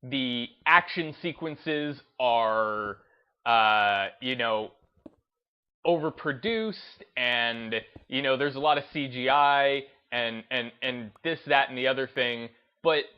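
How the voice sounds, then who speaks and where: A man's voice: medium pitch, takes it slowly, smooth, dull audio. A man giving unscripted opinion commentary in a hesitant, rambling way, often restarting his phrases. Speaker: host of a gaming video channel.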